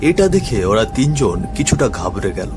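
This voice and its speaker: A man's voice: fairly low pitch, sing-song, moderate pace. A man narrating a scripted ghost story as the storyteller. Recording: music bed under the voice.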